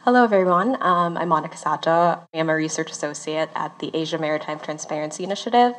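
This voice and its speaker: A woman from the Philippines, a research associate, calmly explaining findings with occasional fillers. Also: sits low, fast. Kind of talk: explanatory interview talk.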